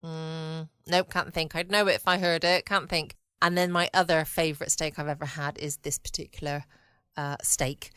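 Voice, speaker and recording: A woman's voice: deep voice, level tone, normal speed, fairly bright tone, smooth, no echo, clean studio sound. A woman with a southern English accent chatting informally and rambling as a solo podcast host. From United Kingdom. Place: at home, dry close room.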